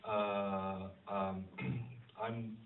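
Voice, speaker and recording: A man speaking as a film director at an audience Q&A, answering off the cuff and haltingly as he thinks aloud. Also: lowish voice, level tone. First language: Vietnamese or English, trilingual. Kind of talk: unscripted Q&A answers. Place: screening venue with audience. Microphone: distant handheld phone camera. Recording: phone-like muffled audio, distant, faint noise.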